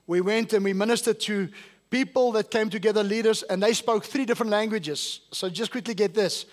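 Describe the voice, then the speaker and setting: A man's voice: high voice, fairly quick. A male pastor, whose first language is not English, preaching a sermon to his congregation and asking them questions. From South Africa. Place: church service.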